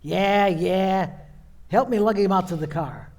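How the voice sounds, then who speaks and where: A man's voice: high-pitched, unhurried, a little mellow. A man playing a brusque, cocksure patrol cop, blunt and slangy, in an old-time radio play.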